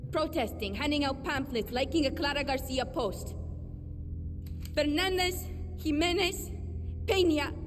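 Defiant young rebel leader, fairly high voice, blunt and rousing, long reverb, faint music. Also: female voice. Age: young adult. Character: young woman guerrilla leader, fiery and defiant. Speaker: video game character. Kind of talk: game cutscene acting.

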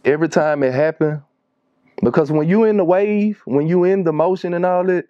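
A man's voice: on the high side, normal speed, dry recording, highs cut off. A man in his thirties with a Louisiana Southern accent, chatting casually and telling stories in slangy talk as an interview guest. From Shreveport, Louisiana, United States. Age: 30s. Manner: slangy, conversational. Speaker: music producer, interview guest. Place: small wood-panelled recording studio.

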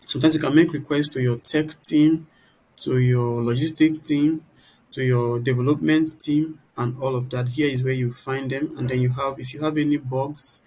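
A man giving an informal, explanatory software tutorial, often turning statements into little rhetorical questions. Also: medium pitch, rough recording. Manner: explanatory, poses rhetorical "what?" questions mid-sentence. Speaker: tutorial presenter. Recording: clear background noise.

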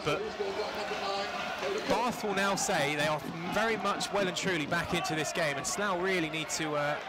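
A man giving live football commentary from a stadium stand, conversational and halting, with plenty of fillers. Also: on the high side. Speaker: match commentator. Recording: loud crowd and outdoor noise.

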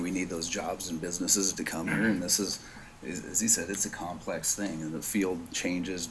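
A man serving as a board director, making unscripted remarks at a formal meeting. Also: deep voice. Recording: noisy meeting room recording.

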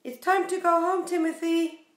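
A woman in her forties reading a picture book aloud to young children in an expressive, sing-song way. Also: very high-pitched, moderate pace. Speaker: preschool storyteller. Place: small bare room indoors. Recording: echoey small room.

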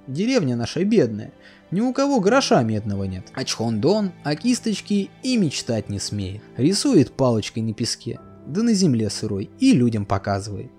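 A man telling a fairy tale as a storyteller, reading it aloud with lively expression. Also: medium pitch. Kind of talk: storybook narration. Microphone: voice-over. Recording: soft music behind.

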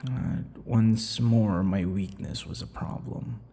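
A man playing a brooding, unhinged male vampire in an intimate ASMR roleplay, breathy and halting, often dropping to a whisper. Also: on the low side, fairly even intonation, a little hiss or hum. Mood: wistful, hurt. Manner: slow, halting, dramatic pauses and dark chuckles. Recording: long reverberant echo.

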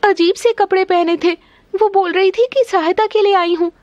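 A woman's voice: very high-pitched, fairly even intonation, normal speed, darkish tone, no echo, muffled recording, pitched above the performer's own voice. A woman voicing a timid, pleading young snake-girl daughter in a cartoon story. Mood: anxious. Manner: plaintive, pleading.

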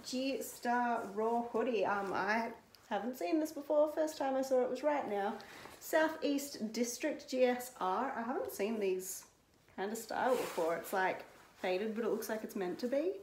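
Casting: young Australian woman, slightly high voice, slow and easygoing, roomy phone sound. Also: darkish tone, slight background noise. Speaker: vlogger and reseller. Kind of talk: casual vlog chat. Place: car, then a small living room. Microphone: phone camera a bit away.

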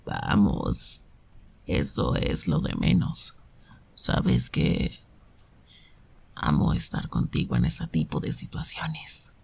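A man acting an animated, raspy anime hero. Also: extremely low pitch, slow, pauses often, in a whisper, heavily acted. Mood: low, seductive. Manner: exclamatory, teasing, swinging from loud to hushed. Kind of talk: anime fan-dub ASMR roleplay.

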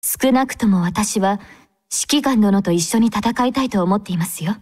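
A woman voicing a devout young female android squad captain in an anime game, speaking formally and with gentle composure. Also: medium pitch, normal speed, husky, lightly acted. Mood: calm, courteous. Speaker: game character voice actor.

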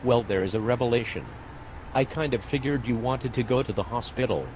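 A man voicing a tired, sarcastic male fire medic in an amateur animated comedy, speaking dryly and with exasperation. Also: medium pitch, brisk, a little hiss or hum, close to an everyday voice.

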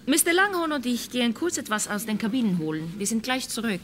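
A woman's voice: fairly high pitch, very animated, quick pace, a bit bright, a little hiss or hum. An actress playing a determined, worried female passenger in a German radio crime drama, direct and insistent. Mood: wary, composed.

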